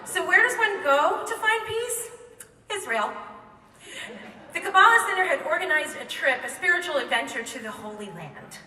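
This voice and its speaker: A woman telling a comic true story live on stage, wry and quick to laugh, recorded in a hall by a microphone set back from her. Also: very high-pitched, animated, bright, forceful tone. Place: theater stage before an audience. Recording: echoey hall, mic from far off.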